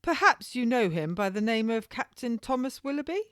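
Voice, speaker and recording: A woman voicing a menacing, mocking gentleman official in a historical novel, speaking in formal, accusatory, archaic phrases. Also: on the high side, very animated, moderate pace, no echo, clean studio sound, voice pushed up. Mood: accusing.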